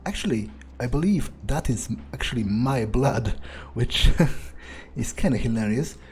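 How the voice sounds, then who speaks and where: A man's voice: medium pitch, expressive, a little slow, a little mellow, a little hiss or hum. A man improvising an in-character gameplay monologue as a sardonic vampire, musing hesitantly and casually to himself with dry, morbid humor. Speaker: let's player role-playing a character.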